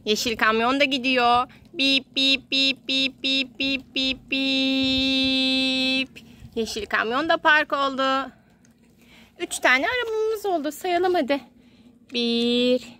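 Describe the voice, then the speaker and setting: A woman's voice: sits high, bright, forceful tone. A mother playing with toy cars outdoors and counting them for her small child, in a sing-song voice full of toy sound effects. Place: outdoors in a garden.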